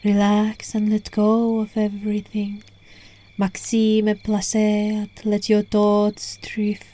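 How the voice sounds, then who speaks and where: Woman doing a seductive gentle witch casting a sleepy spell, asmr, soft breathy whispery, super slow, even and soothing, recorded on a phone. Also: mid-range voice, lightly acted. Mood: soothing, hypnotic. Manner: soothing, hushed, unhurried, coaxing. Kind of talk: ASMR roleplay, slow hypnotic incantation.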